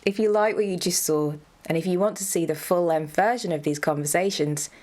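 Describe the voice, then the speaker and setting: A woman's voice: fairly low pitch, a little fast, a little mellow. Woman presenting a promotional sign-off.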